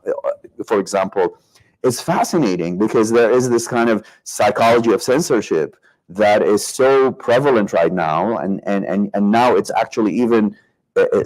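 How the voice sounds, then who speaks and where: A man from Iraq with a fairly low voice, speaking as a panelist. He talks in a reflective, searching way, often circling back to rephrase a thought. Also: darkish tone, low-quality recording. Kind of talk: panel discussion.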